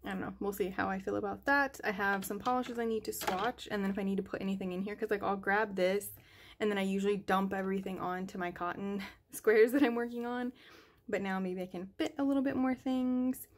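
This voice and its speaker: A woman's voice: mid-range voice, steady tone, fairly quick. A young woman talking casually while tidying her nail polish desk at home, thinking aloud with fillers and hesitations. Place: home nail room, indoors. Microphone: overhead camera above desk.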